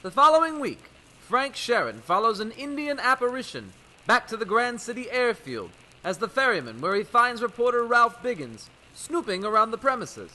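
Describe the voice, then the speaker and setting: An actor playing a dramatic old-time radio serial announcer, narrating in an urgent, theatrical manner. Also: male voice, very high-pitched, big pitch swings, normal speed, pushed and bright, full character voice, rough recording.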